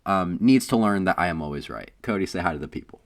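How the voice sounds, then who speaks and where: A low-voiced man co-hosts a casual sports podcast, his voice hoarse from illness. He talks in a loose, teasing way, with plenty of ums along the way. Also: expressive, fast talker. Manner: playful, boastful teasing with frequent ums. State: hoarse from being sick. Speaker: podcast co-host.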